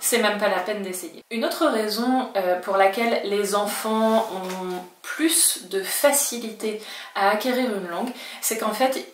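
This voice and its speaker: A French-speaking woman explains to camera in a chatty, reassuring way, sometimes voicing her students' doubts. Her voice sits at a middle pitch. She sounds a little distant from the mic, in a room with a noticeable echo. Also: a little slow, slight background noise. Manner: chatty, reassuring, acts out learners' worries in quotes. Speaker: Spanish teacher. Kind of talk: talking-head language lesson.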